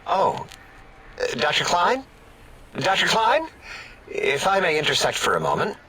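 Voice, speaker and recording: An actor playing a touchy, fussy, whining robotic brain scientist in a sci-fi comedy game. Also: male voice, high voice, huge pitch swings, measured pace, pushed and bright, breathy edge, full character voice. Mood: timid, excited. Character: whiny, touchy, nerdy robotic brain scientist, easily wounded.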